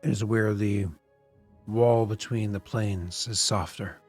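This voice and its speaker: A man voicing a solemn ancient spirit of living flame, speaking slowly and dryly. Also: on the low side, steady tone, a little mellow, soft background music. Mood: grave. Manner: slow, grave and dry.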